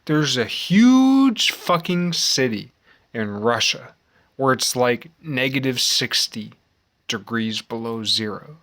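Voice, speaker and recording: A man in his forties with an American accent, giving a rambling, reflective monologue in a halting, musing way with repeated phrases. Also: mid-range voice, a bit bright. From United States. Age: 40s. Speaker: vlogger.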